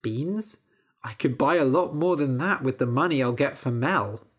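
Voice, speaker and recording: A woman's voice: very deep voice, moderate pace, breathy edge. A woman voicing a naive, dutiful young man who speaks earnestly and plainly, close to her own voice.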